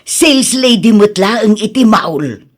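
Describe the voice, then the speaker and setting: An emphatic mother of grown sons, acted by a woman in radio drama style. She chides and chatters in a lively, swooping voice that is slightly husky. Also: mid-range voice, takes it slowly. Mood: chiding, gossipy. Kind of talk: Ilocano radio drama acting.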